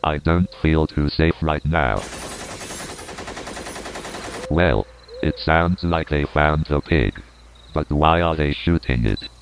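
A man's voice: rumbling low, extremely slow, creaky, pauses often, muffled recording, full character voice. A man playing a nervous worker on tape. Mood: uneasy. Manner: uneasy, worried. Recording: noisy found-footage tape.

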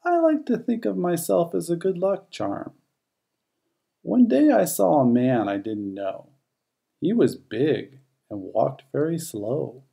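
Male narrator, children's rhyming storybook, mellow tone, slightly gravelly, expressive read-aloud delivery. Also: on the high side, takes it slowly.

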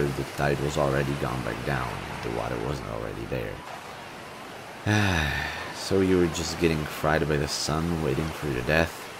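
A male actor plays a kind, bashful young man with a low voice in an intimate audio roleplay. He talks gently and casually to the listener, pausing to find his words. Faint noise and ambience sit behind him. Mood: curious, sympathetic.

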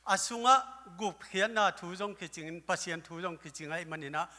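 An older male pastor preaching with a high, very bright voice into a handheld mic. His sermon carries through a church hall with a long, ringing reverb. Now and then he lifts into loud exclamations. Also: fairly quick. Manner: preaching at a lectern, gesturing, with bursts of loud exclamations. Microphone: handheld microphone.

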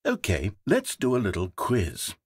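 British game narrator, wry and wistful, pitch swings all over, dry booth sound. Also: male voice, wildly swooping pitch, unhurried, breathy edge, no room sound, heavily acted. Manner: wry, theatrical, swinging between wistful and sarcastic. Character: wistful, needy male narrator voice, self-absorbed. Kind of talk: scripted video game narration.

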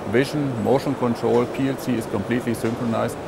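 A man's voice: medium pitch, fairly even intonation, brisk, creaky. A man in his fifties explaining a product in an interview at a busy exhibition hall, with frequent fillers. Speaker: interviewed expert. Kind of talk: trade show interview. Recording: loud exhibition hall crowd noise. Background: hall noise.

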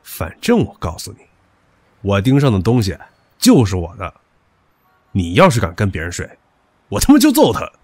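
A man's voice: on the low side, huge pitch swings, normal speed, pauses often, darkish tone, husky, no echo, full character voice. A man playing a stubborn, possessive young suitor in a modern audio drama, talking in a cocky, crude, pushy way. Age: young adult.

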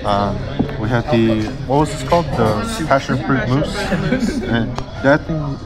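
A man's voice: mid-range voice, lively intonation, takes it slowly, loud music behind the voice. A man casually narrating a dinner vlog, chatting to his viewers and friends at the table. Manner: chatty, addresses viewers as guys. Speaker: vlogger. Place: busy restaurant at a dinner table. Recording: noisy crowded restaurant. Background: loud crowd chatter and clinking cutlery.

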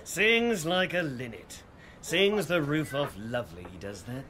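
A man's voice: a little high, very animated, unhurried. An actor playing a sardonic, bullying British policeman in a radio drama. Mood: mocking. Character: sneering, sardonic policeman turned bullying jailer. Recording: radio drama with loud crowd behind.

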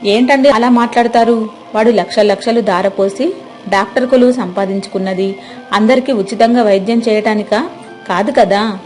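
An older village mother played by a woman speaks at a middling pitch, expressive and gently chiding. She is practical and protective of her family, in a dramatized story with soft music behind. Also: natural voice. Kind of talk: dramatized moral story acting.

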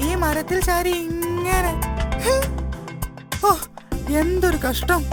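A woman's voice: extremely high pitch, steady tone, slow. A sulky baby elephant boy. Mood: grumbling. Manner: grumbling, sulky. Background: loud children's music.

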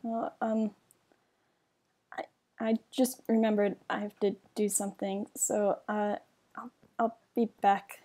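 Casting: female actor as hesitant guild mage for game roleplay, mellow, relaxed tone, mid pitch, halting delivery. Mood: flustered. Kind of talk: improvised fantasy game roleplay.

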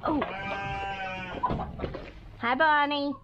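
A woman chatting and calling to farm animals in a wooden barn, cooing at them in a high sing-song voice. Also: fairly even intonation, very slow, muffled recording, very noisy. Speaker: vlogger feeding farm animals. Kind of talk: casual chat to animals.

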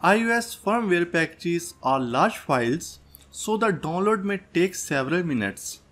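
A man's voice: high-pitched, lively intonation, normal speed, a bit bright. A man presenting a tech tutorial as a scripted voice-over, going through instructions step by step. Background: background music.

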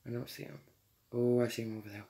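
A teenage boy casually commenting while he plays a video game, chatty and quick to laugh and apologize. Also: mid-range voice, level tone, gravelly, mellow. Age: teens. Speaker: gamer streamer.

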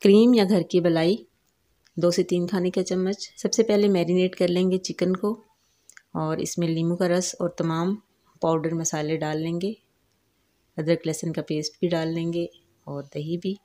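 A woman talks through a recipe at home, one step at a time. Her voice is low and mellow, and her delivery stays calm and instructive. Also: measured pace. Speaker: cooking channel host. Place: home kitchen.

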